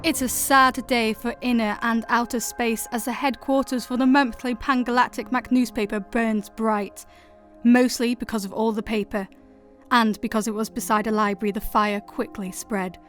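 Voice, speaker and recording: Scottish female reporter voiced by an actress, high voice, theatrical, drily snide, comic radio play, music behind. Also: fairly even intonation, normal speed, a bit bright, natural voice. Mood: mock-solemn. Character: melodramatic, scheming female newspaper reporter.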